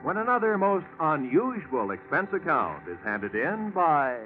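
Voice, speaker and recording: A man's voice: fairly high pitch, very animated, a little slow. An American man working as a radio announcer, with polished, formal 1940s network delivery. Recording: tinny vintage radio recording with music.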